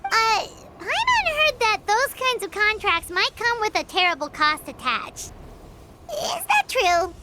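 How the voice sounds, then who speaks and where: A tiny high-voiced sidekick, lively, indignant, self-referring. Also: female voice, measured pace, heavy background noise, heavily acted. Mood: curious. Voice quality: very high, squeaky. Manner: indignant, talks of herself in third person. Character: tiny floating female companion, excitable and blunt. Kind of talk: video game voice acting.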